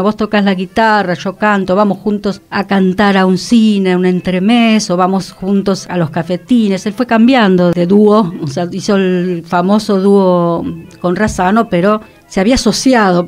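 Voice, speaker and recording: A woman's voice: medium pitch, a little mellow. A woman with a Rioplatense Argentine accent, talking reflectively as a radio host about cultural history.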